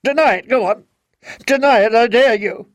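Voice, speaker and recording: A man voicing a bitter, furious wife who shouts accusations through tears, in an audiobook. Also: moderate pace, no echo. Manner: shrill, accusing, near screaming. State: crying. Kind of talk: audiobook character voice.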